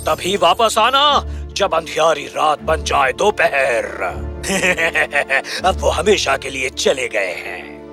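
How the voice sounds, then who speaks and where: Menacing male sorcerer, theatrical fairy-tale delivery, sharp bright tone, highly animated. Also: faint music behind, full character voice. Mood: gloating, menacing. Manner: slow, theatrical incantation. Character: menacing male sorcerer casting wicked curses. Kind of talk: audio fairy tale voice acting.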